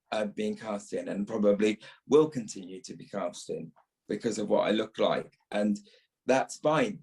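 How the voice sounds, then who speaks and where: A man's voice: fairly low pitch, creaky, a little mellow, poor audio. A man, an actor, talking reflectively as an interview guest, stressing his points by repeating words. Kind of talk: reflective podcast interview talk.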